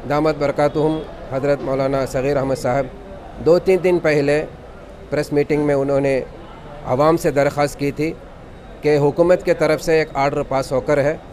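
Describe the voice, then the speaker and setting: A man's voice: on the high side, fairly even intonation, normal speed, a little mellow, slight background noise. A man, an imam making a formal, devout spoken statement to a news camera. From Karnataka, India. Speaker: imam and khatib.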